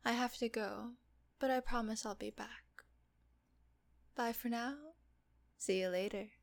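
A woman voicing a teasing, doting female nurse in an intimate audio roleplay, talking softly and often in a whisper. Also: on the high side, steady tone, measured pace, gravelly. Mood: teasing, coaxing.

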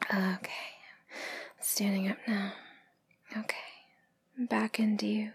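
A woman whispering in a breathy, sultry, teasing voice in an intimate adult roleplay audio, with moans between phrases. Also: medium pitch, a little mellow, slight background noise.

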